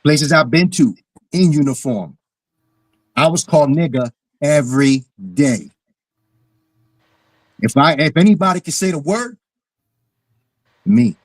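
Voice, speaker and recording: A man's voice: a little high, lively intonation, a little slow, pauses often, no echo. Blunt New York man, close dry sound. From South Bronx, New York. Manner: blunt, emphatic, repeats phrases. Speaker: caller, military veteran. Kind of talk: casual heated discussion.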